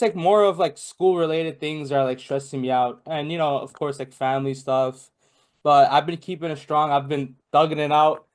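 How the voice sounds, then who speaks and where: A young man from Bangladesh, a podcast guest in casual chat, rambling with many fillers and restarts. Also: on the high side. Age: young adult.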